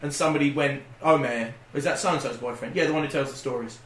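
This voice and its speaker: Bright-voiced man chatting in an echoey room. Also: mid-range voice, quick pace. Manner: animated, rambling, swears casually. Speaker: podcast co-host. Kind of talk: casual group banter and storytelling. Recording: roomy, noisy room recording.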